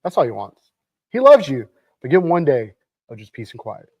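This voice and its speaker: A man's voice: medium pitch, wildly swooping pitch, fairly quick, darkish tone, dry recording, poor audio. A man hosting a morning talk show, chatting casually and animatedly. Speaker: show host. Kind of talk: casual on-air chat.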